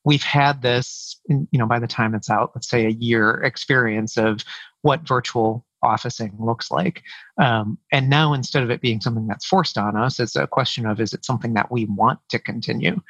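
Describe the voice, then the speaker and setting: A man talks through interview answers, often pausing and restarting his sentences. His voice sits dry and close with no room around it. The audio is a bit dull, as if through a modest mic. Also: medium pitch, fairly bright tone, no echo. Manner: hesitant, many fillers and restarts. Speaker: head of sales, podcast guest. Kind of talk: conversational interview.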